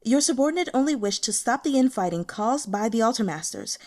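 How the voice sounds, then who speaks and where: A woman voicing a scheming female demonic sect protector who speaks loudly, clearly and formally to her master in an audiobook. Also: on the high side, expressive, brisk, voice pushed up, natural voice. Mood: insistent, deferential. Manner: loud, clear, formal address to a superior. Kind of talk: audiobook character voice.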